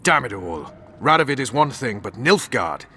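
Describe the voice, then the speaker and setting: An actor playing a blunt, hot-tempered soldier in a fantasy game, with a coarse, forceful delivery. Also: male voice, medium pitch, very animated, moderate pace. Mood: angry. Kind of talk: video game dialogue acting. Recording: long hall reverb, faint background noise.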